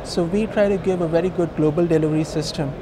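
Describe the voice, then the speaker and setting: A man with an Indian accent and a slightly high voice answers questions as a company founder in an informal, emphatic way. He is recorded at an event with clear background noise. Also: steady tone, quick pace. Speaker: company co-founder being interviewed. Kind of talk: conversational business interview. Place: busy hosting industry event. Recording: noisy event location, traffic-like ambient noise.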